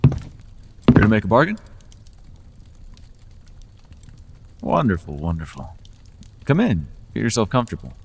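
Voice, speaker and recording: A man's voice: fairly low pitch, wildly swooping pitch, moderate pace, creaky, breathy edge, full character voice. A man voicing a charming, manipulative male fey lord in an ASMR roleplay, speaking in a silky, coaxing, teasing way. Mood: welcoming. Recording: long reverb.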